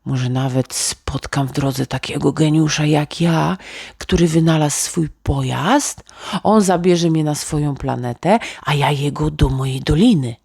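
A woman voicing a dreamy, excited little boy inventor in a children's bedtime story, musing aloud eagerly. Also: sits low, unhurried, creaky, husky, no echo, clean studio sound, heavily acted. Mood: proudly excited.

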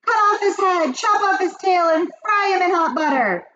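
Shrieking, bloodthirsty witches from a storybook, fawning and excitable. The pitch is extremely high and leaps about wildly in exclamation. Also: unhurried, muffled recording, full character voice. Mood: bloodthirsty glee. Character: shrill crowd of bloodthirsty, fawning female witches. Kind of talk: storybook character voice.

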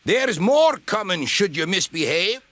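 A proud, fiery Scottish steam engine from a children's storybook. The voice is bright and forceful, swinging widely in pitch. It blusters with heavy emphasis over faint noise. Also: male voice, sing-song, a little slow, full character voice. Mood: angry, threatening. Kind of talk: dramatised children's storybook reading.

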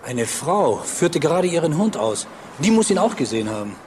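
An actor playing a plain-spoken, insistent bus driver in a German crime radio drama, talking colloquially. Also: male voice, a little high, sing-song, moderate pace, darkish tone, slight background noise.

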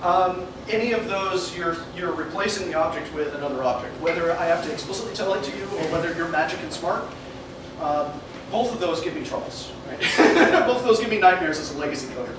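Young guy at a whiteboard, lively, laughs a lot, far mic, air con hum. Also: on the high side, brisk. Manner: animated, laughs often, thinks aloud. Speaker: meetup speaker. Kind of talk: talk at a whiteboard with audience questions. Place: meeting room with an audience. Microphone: far camera mic. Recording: echoey room from far off, noisy.

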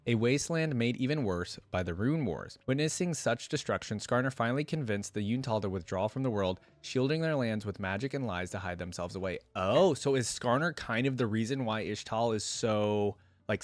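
A man's voice: on the low side, no echo. A young adult man hosting a reaction video, reading aloud and commenting casually in an informal, think-aloud way into a close desk microphone. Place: indoor room at a streaming setup.

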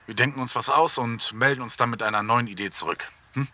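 A man's voice: medium pitch, fairly quick, bright, forceful tone. A man playing a bantering young podcaster in a German audio drama, casual and teasing. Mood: frustrated, resigned. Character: Marius, bantering young male podcaster reporting on a garden hole.

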